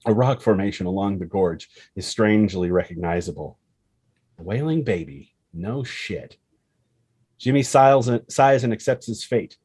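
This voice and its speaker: A man's voice: fairly low pitch, normal speed, a little mellow, husky, dry recording. A man reading a screenplay's action lines as dramatic narration, expressively and in short punchy phrases. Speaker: screenplay reader.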